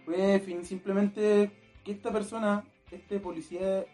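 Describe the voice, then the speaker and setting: A man's voice: high voice, level tone, measured pace, mellow, faint music behind. A young man with a Chilean accent co-hosting a casual podcast, crude and joking, swearing freely. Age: young adult. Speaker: podcast co-host. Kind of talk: casual chat over beers.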